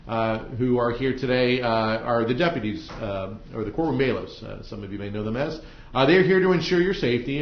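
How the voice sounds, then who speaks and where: An American man presiding as judge, giving semi-formal instructions to jurors with frequent ums and uhs. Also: medium pitch. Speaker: judge presiding over jury selection. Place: indoor courtroom. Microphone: room microphones in the ceiling. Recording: dull, echoey courtroom microphone pickup.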